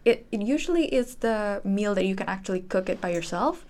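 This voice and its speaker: Student podcast guest: woman, 19, Indonesian accent, middle pitch, informal conversational delivery. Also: lively intonation, fairly quick, darkish tone. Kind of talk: casual chat.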